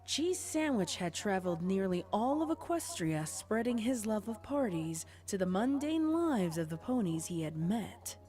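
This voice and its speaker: A woman's voice: medium pitch, very animated, moderate pace, few pauses, warm tone. Woman narrating a dramatic story over music. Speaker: fan fiction narrator.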